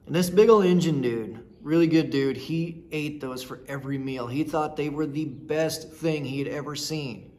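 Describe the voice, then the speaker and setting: A man in his thirties from rural Nebraska, telling personal stories in a blunt, folksy, rambling way. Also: fairly high pitch. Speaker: storyteller host. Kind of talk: casual personal storytelling.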